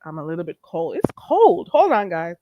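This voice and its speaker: A woman's voice: lowish voice, wildly swooping pitch, moderate pace, dull audio, background noise. A woman hosting a live stream, trading blunt, profane gossip and greeting her viewers, a little stuffy-nosed. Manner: greets and thanks viewers mid-talk. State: stuffy nose. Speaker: livestream host.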